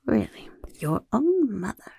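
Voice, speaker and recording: A woman playing a smug goblin boss, gravelly breathy whisper, slow, close dry mic. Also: medium pitch, sing-song, mellow, no echo, pitched above the performer's own voice, exaggerated voice. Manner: whispery, smug, mischievous questioning. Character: scheming little goblin overlord interviewing recruits. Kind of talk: soft-spoken ASMR roleplay. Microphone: close ASMR mic.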